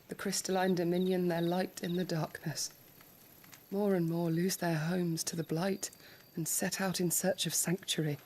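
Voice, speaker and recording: A woman playing a quiet, loyal attendant. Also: on the low side, slight background noise, lightly acted. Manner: soft, respectful and hushed. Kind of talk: game cutscene acting.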